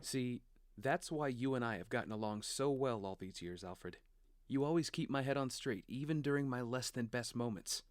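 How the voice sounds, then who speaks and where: A man's voice: medium pitch, animated, moderate pace, no echo, studio quality, lightly acted. An actor playing an earnest family man and father in an audio drama, with a conversational manner. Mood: subdued, reflective.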